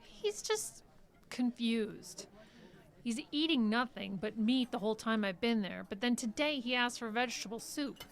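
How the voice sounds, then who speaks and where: An actress playing a caring but defensive caregiver in a radio drama, hesitant and conversational. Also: female voice, fairly high pitch, lively intonation, measured pace. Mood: defensive, uneasy. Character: caring, defensive female caregiver to an old doctor.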